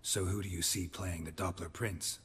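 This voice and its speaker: Husky, low-voiced gruff witcher. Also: steady tone, moderate pace, a bit bright, big-room sound, heavily acted. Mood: calm, dry. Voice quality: gravelly, husky. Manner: terse, understated, dry. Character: gruff, laconic male witcher with cat eyes. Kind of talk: video game dialogue acting.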